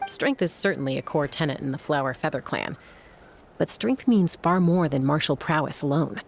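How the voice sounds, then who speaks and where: A woman's voice: low voice, animated, moderate pace, vocal fry, slight background noise. An actress playing a cool, self-assured young warrior woman in a video game, speaking in a composed, frank way. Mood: frank, respectful. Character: cool, self-assured female warrior, lone-wolf peacemaker. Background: music.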